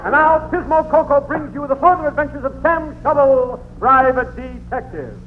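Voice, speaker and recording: Very high-pitched female vintage radio announcer. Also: animated, normal speed, loud music behind the voice. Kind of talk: old-time radio parody sponsor pitch. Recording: noisy, narrow-band vintage radio recording.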